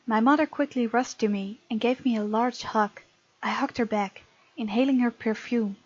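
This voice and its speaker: A woman's voice: on the high side, normal speed, dry recording, muffled recording. A woman hosting a gaming video, expressively reading game text aloud with casual, playful commentary.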